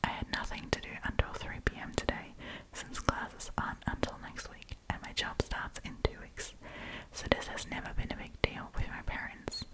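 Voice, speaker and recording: A woman hosting an ASMR storytime in a breathy, unvoiced whisper, reading online stories aloud with chatty, informal asides, close to the microphone. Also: normal speed, rough recording. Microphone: close propped-up microphone.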